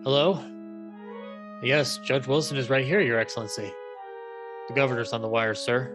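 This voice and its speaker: A man's voice: mid-range voice, moderate pace, lightly acted. Hesitant, deferential radio man over music acted. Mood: nervously deferential. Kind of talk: 1930s radio drama acting.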